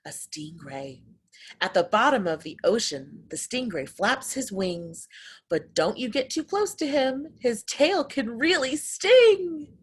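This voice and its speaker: A woman reading a picture book to young children, expressive and exclamatory, addressing her listeners directly. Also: a little high, takes it slowly, breathy edge. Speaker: children's story reader.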